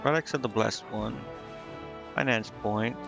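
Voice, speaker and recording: Gravelly man casually streaming a game. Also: fairly low pitch, a little slow, lo-fi sound. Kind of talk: casual live gameplay commentary, reading game text aloud. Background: game music.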